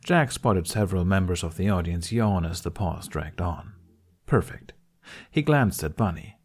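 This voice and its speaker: A man's voice: low-pitched, lively intonation, a little slow, darkish tone, slightly rough. A man reading a scripted story aloud as its narrator. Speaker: fan fiction podcast narrator.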